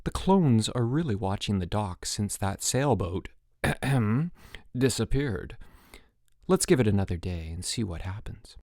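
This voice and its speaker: Narrator as a deadpan, sardonic boy camper. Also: medium pitch, measured pace, no room sound, studio quality. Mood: wry. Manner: deadpan, weary, mock-modest. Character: dry, sardonic, unflappable troublemaker boy at camp.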